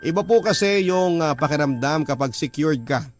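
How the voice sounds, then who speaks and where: A man's voice: on the high side, animated, normal speed, faint music behind, natural voice. A man playing an earnest, hardworking storyteller who narrates his own life politely and reflectively in a radio drama. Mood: earnest, proud.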